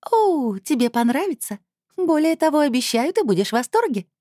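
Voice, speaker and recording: A woman reader voicing a playful, coaxing female being with a soft, enveloping mezzo voice in a storybook. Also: high voice, big pitch swings, normal speed, no echo, clean studio sound, voice pushed up. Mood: mock innocent. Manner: purring, teasing, coaxing.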